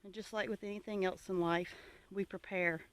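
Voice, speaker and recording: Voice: mid-range voice, steady tone, normal speed, fairly bright tone, no echo. A homesteading vlogger from the United States giving an informal, reflective talk to viewers in an earnest, plainspoken, advisory manner.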